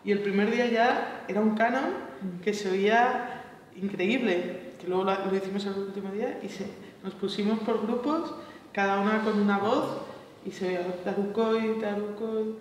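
A woman's voice: medium pitch, steady tone, moderate pace, a little hiss or hum. Woman, 30s, hesitant reflective seminar talk; distant mic, roomy echo. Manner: hesitant, thinking aloud, frequent tag questions. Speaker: choir workshop leader. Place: large bright seminar room. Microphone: far camera mic. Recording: echoey room from far off.

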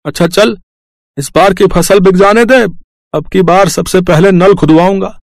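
Guy doing older indian village dad, farmer, kinda high voice, earnest n insistent, cartoon story. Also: a little slow, no room sound. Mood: agreeable, practical. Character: kindly ageing village farmer father, practical and insistent. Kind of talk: cartoon moral story dialogue.

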